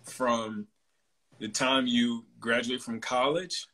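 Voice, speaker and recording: A man in his forties hosts a relaxed conversation and talks at an easy, rambling pace full of fillers. His voice is bright and forward, sitting at a middle pitch. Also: takes it slowly, rough recording. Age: 40s. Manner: relaxed and chatty, many fillers. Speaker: host. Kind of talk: casual interview chat.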